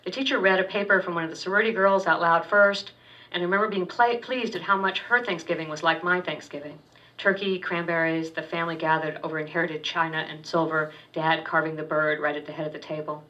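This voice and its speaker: A woman author reading her first-person fiction aloud at a public university reading, steady and wry with small stumbles. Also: lowish voice, fast. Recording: distant dull lo-fi recording.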